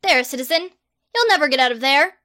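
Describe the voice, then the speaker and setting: A woman's voice: high-pitched, expressive, a little fast, pushed and bright, dry recording, clean studio sound. A woman voicing a pompous, self-proclaimed boy wizard hero who makes grandiose, bossy proclamations.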